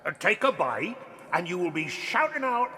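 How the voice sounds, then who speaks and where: An actor playing a kindly but sly old giant, coaxing in quaint mangled grammar, in a dramatized audiobook. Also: male voice, sits high, sing-song, normal speed, pushed and bright, long reverb tail, full character voice.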